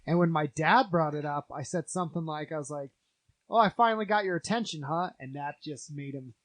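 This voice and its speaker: A man's voice: fairly high pitch, fairly quick, dry recording. A man co-hosting a podcast, chatting casually with wry, self-mocking asides. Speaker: podcast co-host. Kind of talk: casual chat.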